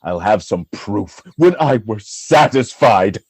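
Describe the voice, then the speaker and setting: A man playing a jealous, tormented general in a Shakespearean radio drama, husky and declamatory, swinging between hushed brooding and outcry. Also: fairly low pitch, expressive, slow, no room sound, full character voice. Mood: menacing, tormented.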